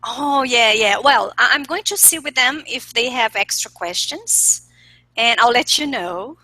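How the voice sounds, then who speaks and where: A woman's voice: a little high, animated, a little slow, bright, forceful tone, no room sound, low-quality recording. A woman interviewing guests in English, friendly, often ending her points with tag questions. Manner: friendly, asks questions, ends lines with tag questions. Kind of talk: conversational interview.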